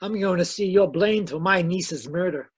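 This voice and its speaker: Calculating male killer, high husky voice, smug menace, old-time radio crime style. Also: normal speed. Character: cold, calculating male murderer, smugly menacing. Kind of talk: 1940s radio crime drama acting.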